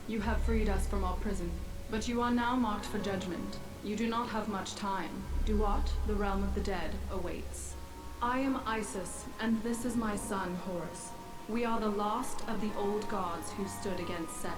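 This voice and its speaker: A regal, ancient goddess voiced by a woman speaks in a medium-pitched voice over loud music. She is a solemn video game guide, formal and measured in every phrase. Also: natural voice, low-quality recording. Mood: solemn warning. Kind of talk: video game character acting.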